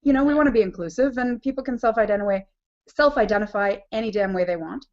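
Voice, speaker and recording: Brief: chatty co-host woman, slightly high voice, dull lo-fi webcam sound, wry and giggly. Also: quick pace, a little mellow. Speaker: podcast co-host. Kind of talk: casual chat. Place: living room with a couch. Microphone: webcam on a video call. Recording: dull lo-fi video call audio.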